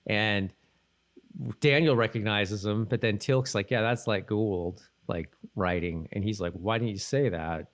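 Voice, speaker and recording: A man's voice: lowish voice, measured pace. A man co-hosting a podcast, chatting casually and rambling a bit as he loosely retells scenes and dialogue. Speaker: podcast co-host. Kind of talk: casual conversational recap chat.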